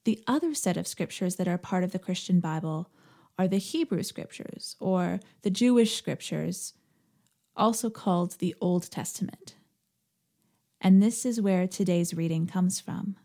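A woman's voice: lowish voice, expressive, measured pace, mellow. A woman hosting a podcast, explaining things in a narrating style. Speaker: podcast host.

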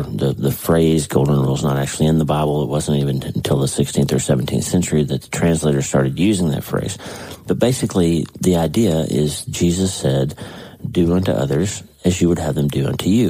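A man hosting a podcast with a casual, conversational devotional talk, his voice congested and husky. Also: extremely low pitch, dark tone, no room sound, rough recording. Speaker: podcast host.

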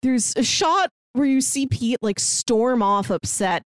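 A woman's voice: on the high side, animated, slow, no room sound, clean studio sound. A woman co-hosting a podcast, casually discussing film and retelling things by acting out imagined lines. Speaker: podcast co-host.

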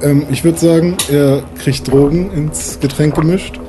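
A man's voice: fairly high pitch, steady tone, unhurried, music in the background, poor audio. A young man improvising a story as a player in a tabletop role-playing game, chatting casually and with slang. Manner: informal, slangy.

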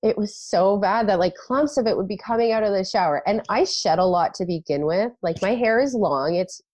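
Woman co-host with a cold, nasal and stuffed up, dry close mic, lively chat. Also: medium pitch, a little fast, no room sound. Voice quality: a little nasal. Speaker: podcast co-host. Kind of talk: casual podcast chat.

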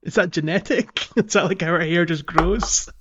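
Male podcast co-host, higher register, casual gaming chat, slightly muffled audio. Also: normal speed, fairly bright tone, slightly rough.

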